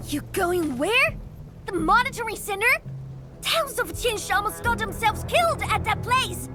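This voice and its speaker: A woman playing a boastful, excitable young catgirl in a video game, bratty, taunting and full of exclamations. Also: extremely high pitch, normal speed, over music. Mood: demanding. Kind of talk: animated video game voice acting.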